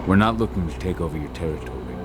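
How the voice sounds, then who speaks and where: A man playing a stern, determined werewolf warrior and father in a video game, speaking tersely, with a gruff manner. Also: deep voice, lively intonation, quick pace, over music. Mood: grim, determined. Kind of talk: video game cutscene acting.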